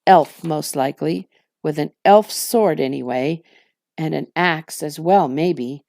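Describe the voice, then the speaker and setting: A woman's voice: low voice, slow, vocal fry, a little mellow, no echo, exaggerated voice. A woman voicing a sly, gloomy male orc captain from a fantasy storybook, needling and mocking as she speaks. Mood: sardonic warning. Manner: sardonic, needling.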